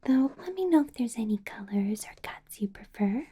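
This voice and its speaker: A woman voicing a doting, possessive vampire boss in an ASMR roleplay, in a soft breathy whisper with a coaxing, controlling sweetness. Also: a little high, lively intonation, normal speed. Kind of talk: ASMR roleplay, close whispered personal attention.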